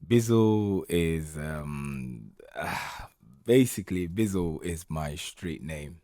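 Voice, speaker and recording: Deep-voiced man from Austria, hesitant. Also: dry recording, clean studio sound. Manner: relaxed, hesitant, many fillers and pauses. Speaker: guest, music entertainer. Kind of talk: casual radio interview. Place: radio studio.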